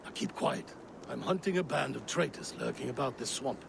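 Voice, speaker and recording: A gravelly, paranoid Viking king. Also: mid-range voice, lively intonation, brisk, noisy background. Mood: commanding. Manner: suspicious, erupting into furious outbursts. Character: volatile paranoid Viking king, grizzled and proud. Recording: game cutscene audio with score, long reverb.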